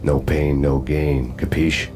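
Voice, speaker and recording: A grim, world-weary ex-cop for a game's noir cutscenes. His voice sits extremely low and barely moves in pitch. He talks deadpan, with dry sarcastic wit. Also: slow pace, heavy background noise. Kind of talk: noir game narration and dialogue. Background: music.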